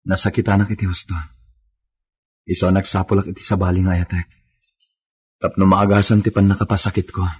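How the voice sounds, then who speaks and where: Young jilted lover, lowish husky voice, earnest, radio drama with music. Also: male voice, flat delivery, a little fast, pauses often. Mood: hurt. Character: heartbroken young suitor, resolute about a new love.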